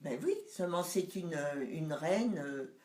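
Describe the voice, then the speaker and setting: A woman's voice: low voice, expressive, measured pace, darkish tone. Elderly woman reminiscing in a roomy room. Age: 90s. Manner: reminiscing, hesitant, searching for words. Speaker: mother recalling family memories. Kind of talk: casual family conversation.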